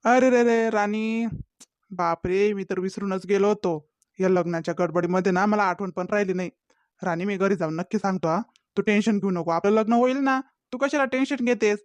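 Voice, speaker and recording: Someone playing a sly smooth-talking village lad. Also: medium pitch, normal speed, dry recording, lightly acted. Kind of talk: cartoon comedy voice acting.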